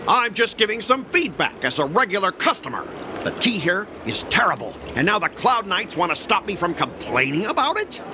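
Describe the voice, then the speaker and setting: A man's voice: sits high, big pitch swings, normal speed, noisy background. An actor voicing a smug, mocking young mech salesman in a video game, with a sneering, theatrical manner. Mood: scornful. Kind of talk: video game cutscene acting.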